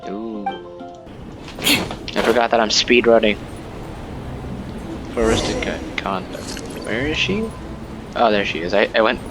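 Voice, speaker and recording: A man's voice: fairly low pitch, measured pace, long pauses, music in the background. A man giving casual, offhand commentary as he streams a video game.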